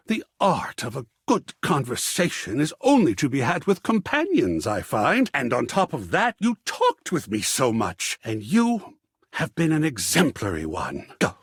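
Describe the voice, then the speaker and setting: An actor voicing a pompous, sensitive living artwork in a video game, with florid, dramatic phrasing and a husky voice. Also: male voice, mid-range voice, dry recording, clean studio sound, heavily acted. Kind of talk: theatrical video game voice acting.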